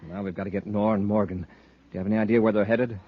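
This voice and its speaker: A man playing a resolute, quick-thinking space patrol commander, giving crisp orders in 1950s radio serial style. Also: lowish voice, fairly even intonation, close to an everyday voice. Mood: brisk, steady. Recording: dull, hissy old radio recording.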